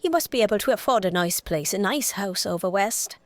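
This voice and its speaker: A woman's voice: mid-range voice, animated, fairly quick, dry recording, close to an everyday voice. An actress playing a chatty, streetwise Victorian East End woman who is eager to please, speaking colloquially in an audio drama. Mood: knowing, frank. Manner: colloquial, coaxing working-class speech.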